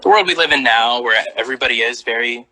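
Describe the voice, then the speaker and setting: A man's voice: medium pitch, fast, slight background noise. A man interviewing a guest in casual chat, hesitant with many fillers. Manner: lively, hesitant with many likes and ums.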